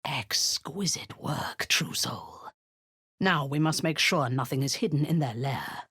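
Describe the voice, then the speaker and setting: A woman's voice: extremely low pitch, lively intonation, unhurried, a bit bright, breathy edge, no room sound, clean studio sound, heavily acted. A woman voicing a cruel, zealous drow warlord in a video game, giving cold commands that often sink into a menacing whisper.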